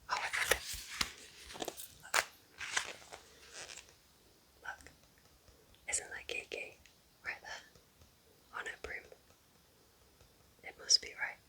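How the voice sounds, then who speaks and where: A woman whispering gently and unhurriedly in an ASMR style, rambling softly as she recommends things close to the microphone. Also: poor audio. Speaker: ASMR host playing a kind witch recommending books.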